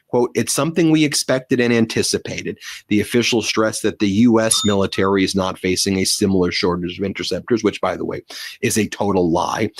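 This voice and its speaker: A man's voice: on the low side, no echo. A man delivering emphatic, scripted political news commentary in a blunt way.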